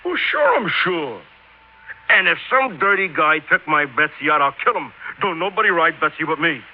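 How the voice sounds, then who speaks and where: A man playing a big, rough, simple, loyal truck driver in a 1950s radio drama, blustery and colloquial. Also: a little high, sing-song, moderate pace. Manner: blustery, colloquial, plain-spoken. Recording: narrow, faintly noisy old radio recording.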